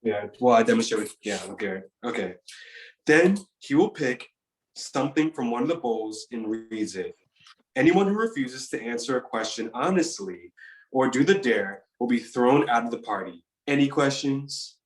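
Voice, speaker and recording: Guy reading a laid-back teen boy, animated voice, echoey room. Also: medium pitch, normal speed, natural voice. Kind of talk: table read of a teen drama.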